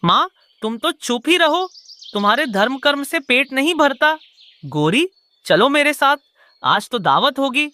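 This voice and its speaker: A woman as a sharp, swooping male crow. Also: high voice, sing-song, measured pace, exaggerated voice. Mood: scheming, impatient. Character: lazy, wicked male crow husband.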